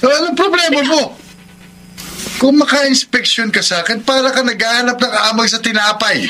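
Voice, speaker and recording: Philandering Filipino husband for radio drama: high-pitched, blustering, defensive, over a noisy track. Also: male voice, normal speed, pushed and bright. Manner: dismissive, blustering, evasive.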